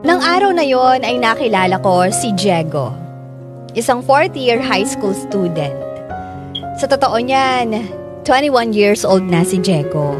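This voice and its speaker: A woman's voice: fairly high pitch, big pitch swings, takes it slowly. A Filipino woman playing a young teacher who narrates her own story in a radio drama. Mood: reflective. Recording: music under narration.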